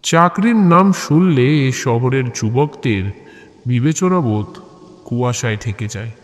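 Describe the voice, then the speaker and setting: A man narrating and hosting scripted audio horror stories in a measured way, pausing between short phrases. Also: mid-range voice, expressive. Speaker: host and narrator of an audio story channel. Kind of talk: scripted horror story narration and channel introduction. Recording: long reverb on the voice.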